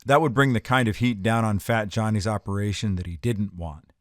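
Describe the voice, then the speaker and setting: A man's voice: lowish voice, fairly quick, no echo, clean studio sound, lightly acted. A man playing a hard-boiled, wisecracking detective in a noir audio drama, terse and sardonic. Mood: brooding.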